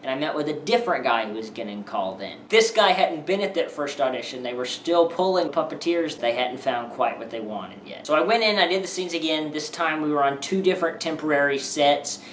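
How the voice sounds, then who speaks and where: A man, a puppeteer, telling behind-the-scenes stories in a chatty, self-deprecating way, pausing often with "uh.". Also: a little high, animated, fairly bright tone. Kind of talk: casual spoken storytelling. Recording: roomy, from a distance, faint hiss.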